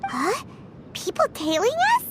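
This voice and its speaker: A woman voicing a tiny childlike companion sprite in a video game, squeaky, blunt and excitable. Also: measured pace, heavy background noise, heavily acted. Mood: eager.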